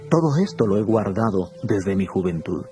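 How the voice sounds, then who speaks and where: A man's voice: fairly low pitch, lively intonation, moderate pace, dark tone, soft background music, close to an everyday voice. A man playing an eager young rich man. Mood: earnest. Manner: earnest, pleading questions.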